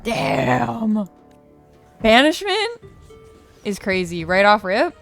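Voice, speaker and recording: A woman's voice: mid-range voice, very animated, drawn-out words, vocal fry. A woman giving casual, reactive gaming commentary, repeating herself and swearing now and then. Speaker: let's player. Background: game music.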